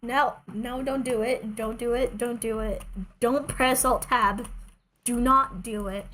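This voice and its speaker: Higher-voiced woman streaming, playful and casual. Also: steady tone, takes it slowly, a bit bright, a little hiss or hum. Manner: playful, self-deprecating, talks to herself and viewers. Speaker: streamer, video game player. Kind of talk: casual gaming livestream chat.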